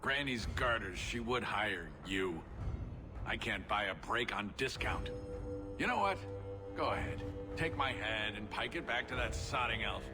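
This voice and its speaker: A low-voiced, gruff smuggler from a video game, cornered and sarcastic. He grumbles in salty slang while loud music plays underneath. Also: animated, unhurried. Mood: exasperated. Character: cornered, grumbling, foul-mouthed small-time smuggler.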